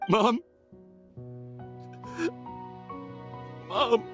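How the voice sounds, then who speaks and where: A desperate adult son in a game drama, pleading in slow, halting phrases. His voice is strained with grief, and music plays clearly behind him. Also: extremely high pitch, a bit bright, exaggerated voice. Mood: anguished.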